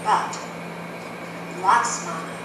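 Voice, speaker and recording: Woman telling a puppet show story slowly, far from the mic, super echoey, loud noise behind. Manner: measured, phrase-by-phrase storytelling. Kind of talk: live storytelling narration. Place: performance space, live show.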